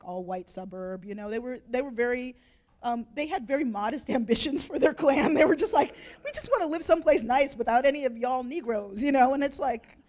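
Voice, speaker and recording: A woman's voice: on the high side, a little mellow. A woman moderating a panel discussion, talking chattily with lots of "you know" and "like". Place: lecture hall event.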